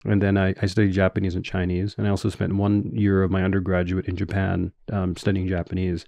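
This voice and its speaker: A man in his thirties with a Canadian accent, a guest scholar giving measured, explanatory answers in an interview with frequent fillers. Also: deep voice, completely flat delivery, gravelly, dark tone, no echo. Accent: Canadian English.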